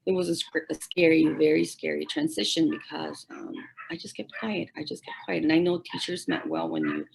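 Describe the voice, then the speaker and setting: A woman in her forties from Mexico with a deep, heavily gravelly and hoarse voice. She is a native Spanish speaker who teaches Spanish, and she tells her personal story haltingly, restarting and repeating phrases. A faint hiss sits under her voice on a video call. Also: rough recording. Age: 40s. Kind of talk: personal story told at a forum.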